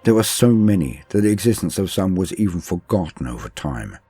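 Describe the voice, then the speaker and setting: A man giving a solemn, measured dramatic reading of science-fiction war lore in a formal storytelling style. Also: deep voice, big pitch swings, mellow, slightly rough, no room sound, faint music behind. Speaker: narrator.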